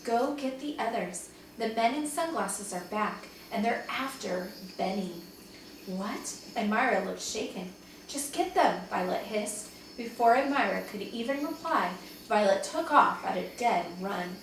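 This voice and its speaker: A woman's voice: medium pitch, a little slow. A woman reading a children's story aloud like a teacher to young pupils, expressively acting out the dialogue. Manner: animated, dramatizes dialogue for young listeners. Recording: very echoey room, distant mic, faint noise.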